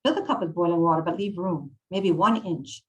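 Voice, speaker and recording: A woman's voice: fairly low pitch, fairly quick, lightly acted, rough recording. A woman voicing a loving, snarky old Italian shopkeeper who fusses and bosses in an Italian accent, read from a novel. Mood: instructive. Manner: bossy, mothering, exclamatory.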